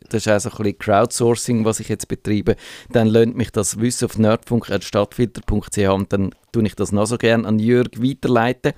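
A man's voice: mid-range voice, a little mellow, no room sound, studio quality. A man with a Swiss German accent hosting a casual radio tech show, chatting and explaining listener questions. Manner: chatty, explaining, reads listener mail aloud.

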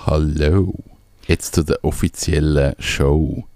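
A man's voice: a bass voice, fairly even intonation, takes it slowly, darkish tone, dry recording, studio quality. A man with a Swiss German accent chatting casually as a radio co-host, rambling and searching for his words. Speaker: radio show co-host and small business owner.

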